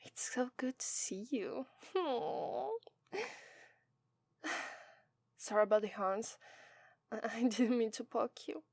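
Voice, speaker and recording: A woman's voice: a little high, lively intonation, slow, gravelly, long pauses, dry recording, heavily acted. A woman playing a shy demon princess bride, Italian accent. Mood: affectionate. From Italy. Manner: gentle and soft-spoken, often hushed to a whisper, with nervous hesitant restarts. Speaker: voice actress. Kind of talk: ASMR roleplay.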